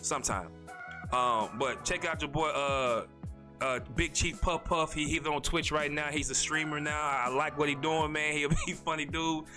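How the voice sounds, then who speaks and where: A man from North Carolina, hosting a casual solo podcast in a rambling, slangy way, talking fast with a stutter. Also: a little high, steady tone, over music. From North Carolina, USA. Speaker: podcast host.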